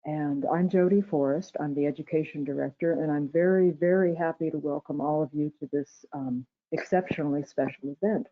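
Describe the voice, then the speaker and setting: A soft-voiced woman giving a prepared ceremonial welcome speech as an education director. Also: deep voice, brisk, few pauses. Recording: tinny, phone-like online meeting audio.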